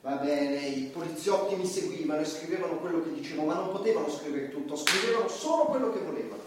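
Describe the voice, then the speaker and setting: An actor playing a defiant, impassioned satirical comedian on trial, emphatic and repetitive in a theatrical stage style. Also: male voice, fairly high pitch, expressive, fast talker, natural voice. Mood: defensive, insistent. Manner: emphatic, repetitive, preaching against hypocrisy and power. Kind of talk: theatrical stage acting, fiery monologue. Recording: echoey distant stage recording, faint noise.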